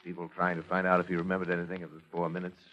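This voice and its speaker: An actor playing an earnest, controlling psychiatrist with formal, weighty phrasing, in the style of 1940s radio drama. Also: male voice, sits low, completely flat delivery, racing, lightly acted. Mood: reflective, concerned. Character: earnest, controlling male doctor and psychiatrist, grave and anxious. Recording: dull, band-limited old radio recording.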